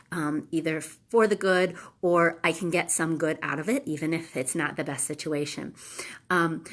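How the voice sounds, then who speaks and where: Congested woman with a low voice. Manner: hesitant, many ums and restarts. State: congested, nasal from allergies. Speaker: live video host. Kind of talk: casual motivational talk.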